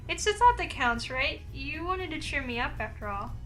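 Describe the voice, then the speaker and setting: A woman's voice: high voice, sing-song, moderate pace, fairly bright tone, soft background music, rough recording. A woman reading a visual novel aloud, narrating and acting out the heroine's lines. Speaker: game player and narrator.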